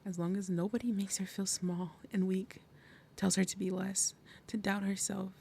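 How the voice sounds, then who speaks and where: A woman playing a fierce, protective demon mother and princess of wrath in an ASMR roleplay, with dramatic, breathy, menacing delivery. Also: medium pitch, flat delivery, moderate pace, warm tone, slight background noise, natural voice. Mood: solemn, guarded.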